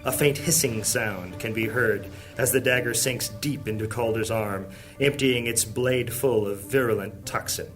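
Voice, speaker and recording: A man's voice: lowish voice, unhurried, fairly bright tone. A man reading scripted narration for a fantasy audio drama. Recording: music under the voice. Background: music.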